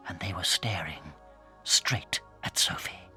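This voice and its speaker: A man with a British accent narrating a dramatized children's story in a suspenseful, theatrical way that can drop toward a hush. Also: sits low, slow, soft background music. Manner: dramatic, suspenseful, hushing to near whispers.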